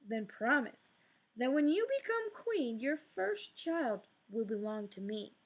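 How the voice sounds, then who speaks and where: A woman voicing a tiny, mysterious little man who bargains in soft, wheedling questions. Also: fairly high pitch, big pitch swings, slow pace, exaggerated voice, low-quality recording. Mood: bargaining.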